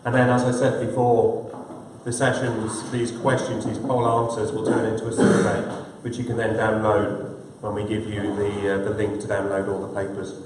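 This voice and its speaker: A man's voice: on the low side, level tone, normal speed, hardly any pauses, a little mellow, a little hiss or hum. A man moderating a live conference session, speaking loosely with frequent hesitations. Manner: loose, hesitant with many fillers. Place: large conference hall. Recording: echoey hall, distant mic.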